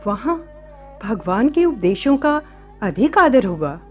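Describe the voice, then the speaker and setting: High-voiced, wondering young nun. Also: female voice, sing-song, slow pace. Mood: hopeful. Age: young adult. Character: gentle, wondering young nun, devout and timid. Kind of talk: Hindi radio drama acting. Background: music.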